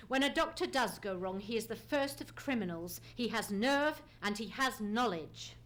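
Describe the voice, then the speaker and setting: A woman's voice: on the high side, animated, moderate pace, a bit bright. A woman playing a keen, commanding male detective in a live old-time radio mystery, speaking precisely and theatrically. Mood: grave, resolute. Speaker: radio play actor. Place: theatre stage. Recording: noisy live theatre stage recording.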